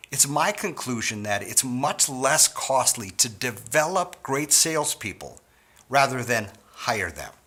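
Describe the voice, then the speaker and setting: A middle-aged man from Wisconsin giving a scripted sales training talk to store owners in an earnest, measured way. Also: medium pitch, sharp tone, husky.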